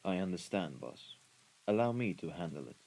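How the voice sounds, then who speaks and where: A man playing a composed, menacing mafia right-hand man in an audio roleplay, speaking in a formal, measured way. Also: low-pitched, sing-song, gravelly, darkish tone, exaggerated voice. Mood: calm, deferential. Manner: formal, measured, deliberate wording. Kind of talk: scripted audio roleplay acting. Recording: rain and ambience behind the voice.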